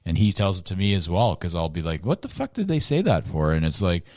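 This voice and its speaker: Deep-voiced man in his forties, loose and rambling as he co-hosts a band interview. he curses casually and circles back on his own thoughts. his voice is low, and the room sounds dry and close. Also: big pitch swings, brisk, a little mellow, dry recording. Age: 40s. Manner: rambling, swears freely. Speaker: podcast co-host.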